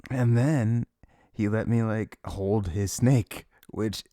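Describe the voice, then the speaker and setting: A man with a husky, creaky voice rambling informally and haltingly to one listener in an intimate ASMR chat, close to the mic. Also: on the low side, steady tone, warm tone, no echo. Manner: sniffles habitually. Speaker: ASMR audio performer.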